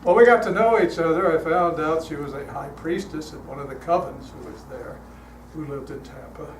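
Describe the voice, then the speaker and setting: An older man speaking as a guest at a church, telling stories in a relaxed, chatty way with frequent fillers, his voice calm. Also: fairly high pitch, moderate pace. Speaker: guest speaker leading a guided meditation. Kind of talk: storytelling talk to a congregation. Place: church hall. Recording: echoey hall, heard from a distance, noisy.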